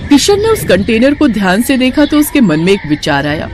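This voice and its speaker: A woman's voice: on the high side, wildly swooping pitch, brisk, a little hiss or hum. A woman reading scripted narration for a moral cartoon story.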